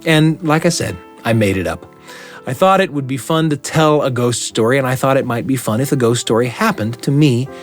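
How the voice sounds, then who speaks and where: A middle-aged man telling a first-person story aloud in a dramatic, suspenseful way, pausing deliberately for effect. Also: mid-range voice, faint music behind. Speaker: storyteller and show host.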